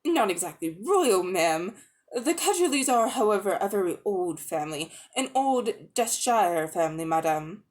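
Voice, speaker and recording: A woman voicing a nervous old gentleman magician, stammering and obsequious, in a dramatic storybook reading. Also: mid-range voice, very animated, moderate pace, close to an everyday voice. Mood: defensive, stammering.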